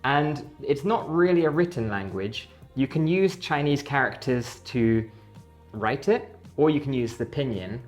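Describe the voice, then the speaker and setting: A man hosts a relaxed language lesson, laying out each point in a steady, measured way. Light music sits faintly behind his voice. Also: mid-range voice. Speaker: host of a language channel. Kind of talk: casual language lesson chat.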